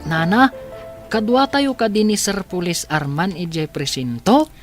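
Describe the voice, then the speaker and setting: A woman's voice: mid-range voice, lively intonation, unhurried, soft background music, natural voice. An actress in a radio drama playing a worried woman talking with an older relative. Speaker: actor in a radio comedy drama. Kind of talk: Ilocano radio drama acting.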